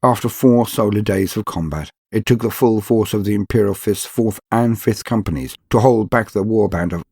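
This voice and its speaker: A man reading scripted dark fantasy lore as a narrator, in a grave, dramatic and measured way. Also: fairly low pitch, a little hiss or hum.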